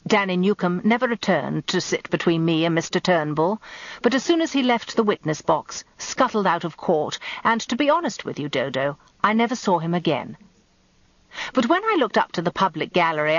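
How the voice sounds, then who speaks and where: Older English wife, wry radio-drama narrator, observant and confiding, close dry sound, slightly muffled. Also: female voice, on the low side, no echo.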